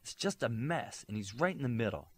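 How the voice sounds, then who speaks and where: A man's voice: mid-range voice, animated, fairly quick, breathy edge, pitched above the performer's own voice. A man voicing a proud, argumentative young male superhero in an audiobook, speaking emphatically and confrontationally. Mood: troubled.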